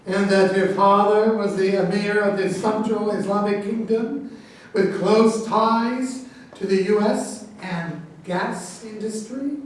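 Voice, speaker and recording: A man's voice: sits high, steady tone, slow pace, slight background noise. A man playing a touchy, self-important army general in a staged reading of a satirical play, argumentative and accusing. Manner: pompous, argumentative. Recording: very echoey room, distant mic.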